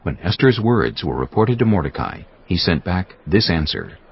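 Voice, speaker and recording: A man narrating scripture dramatically from a script, with a gravelly voice and a solemn, deliberate manner. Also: low-pitched, big pitch swings, highs cut off.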